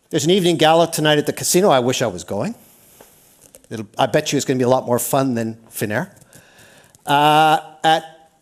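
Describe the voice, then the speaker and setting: A Canadian man with a fairly high voice runs a lively workshop in a lecture hall, speaking into a microphone. He talks energetically and keeps firing questions at the room to draw people in. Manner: energetic, engages the audience with questions. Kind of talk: lively interactive seminar talk.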